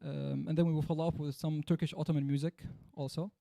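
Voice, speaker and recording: Guy, kinda high, dark and gravelly, polite intro w little pauses. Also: flat delivery, dry recording, clean studio sound. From Syria. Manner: measured, polite, with short pauses. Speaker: performer of devotional chanting. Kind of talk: introduction at a live event.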